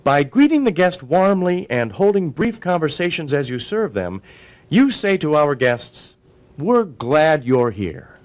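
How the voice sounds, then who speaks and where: A man's voice: a little high, sing-song, a little slow. A man giving scripted, upbeat instructional narration for a training video.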